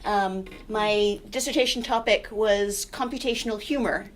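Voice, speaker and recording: A woman's voice: mid-range voice, moderate pace, noisy background. A middle-aged woman professor introducing herself on a university panel in a wry, chatty way. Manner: wry, chatty, tells puns. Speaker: computer science professor on a panel. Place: university lecture room with audience. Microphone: handheld mic.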